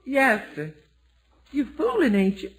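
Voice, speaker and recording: An actress playing a good-humored household cook in an old radio sitcom, with a folksy, teasing manner. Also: female voice, sits high, wildly swooping pitch, a little slow, exaggerated voice, rough recording. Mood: chatty. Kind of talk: 1940s-50s radio sitcom acting. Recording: old-time radio recording.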